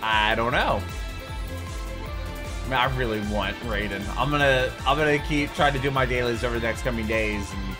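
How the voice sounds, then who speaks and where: A man's voice: medium pitch, normal speed. A man, giving chatty, informal reaction commentary. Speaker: reaction video host. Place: small home room, indoors. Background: faint music.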